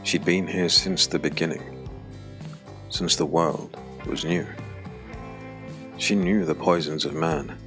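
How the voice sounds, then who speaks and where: A man narrating a dark fantasy audiobook drama in measured, weighted phrases. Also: a bass voice. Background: background music.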